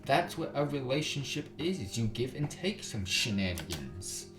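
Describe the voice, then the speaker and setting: A man's voice: medium pitch, very animated, measured pace. A man reading a visual novel aloud as a gaming YouTuber, with dramatic delivery and his own commentary. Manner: dramatic reading, switches into character voices. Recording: roomy echo over guitar music. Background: guitar music.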